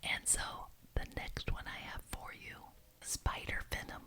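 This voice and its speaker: Whispering woman in a witch ASMR roleplay. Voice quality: breathy unvoiced whisper. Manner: deliberate, repeats words for emphasis. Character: genteel, officious witch chairing a neighborhood meeting.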